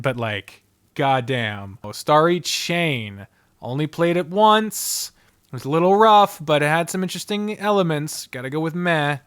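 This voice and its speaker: A man giving casual, off-the-cuff ranking commentary in an animated way, speaking straight to the things being ranked and swearing freely. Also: on the high side. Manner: animated, addresses the games directly, swears freely. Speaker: video host ranking visual novels.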